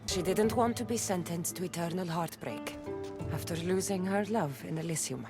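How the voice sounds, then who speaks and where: An actress playing a blunt, questioning young warrior heroine in a video game, with a direct, wry way of talking. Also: female voice, on the low side, moderate pace, natural voice. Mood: guarded, concerned. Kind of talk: scripted video game dialogue. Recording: music behind the voice.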